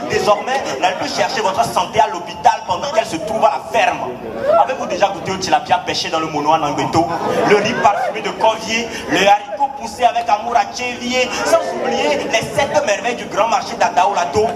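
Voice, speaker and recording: A man's voice: high voice, brisk. A man hosting a slam show, declaiming in playful rhythmic lines on stage. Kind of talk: rhythmic spoken-word slam and stage introduction. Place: large indoor concert hall on stage. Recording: echoey live hall from far off. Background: loud live music and a cheering crowd.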